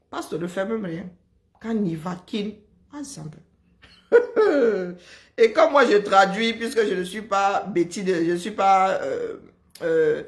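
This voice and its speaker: A woman from Cameroon with a Cameroonian French accent, chatting casually and telling lively stories, often breaking into laughter. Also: medium pitch. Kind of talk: casual chat.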